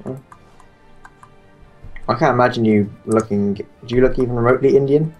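A man's voice: medium pitch, muffled recording. A young man from Guernsey with a British accent, grumbling and bantering over a multiplayer strategy game. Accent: British English. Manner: swears, grumbles, quick comebacks. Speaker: gamer playing multiplayer strategy. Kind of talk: casual gameplay chat. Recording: faint game music behind.

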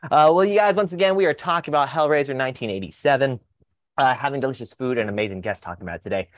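A man in his thirties hosting a casual online show over a video call, excitable and gushing, quick to laugh. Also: mid-range voice. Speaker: host. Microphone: headset, video call.

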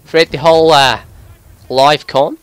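A man putting on an Australian accent as an excitable, sweary bloke giving live video game commentary in a voice pushed higher than usual. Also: unhurried, clean tone, no echo, close to an everyday voice. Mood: focused, chatty. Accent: put-on Australian. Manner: excitable, sweary, breaking into giggles at himself. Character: excitable Australian bloke gamer, jokey larrikin.

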